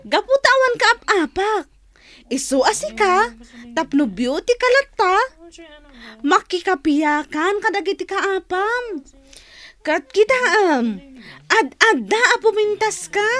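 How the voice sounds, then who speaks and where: Very high, chirpy advice-giver. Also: female voice, fairly bright tone, full character voice. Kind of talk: comic radio drama acting.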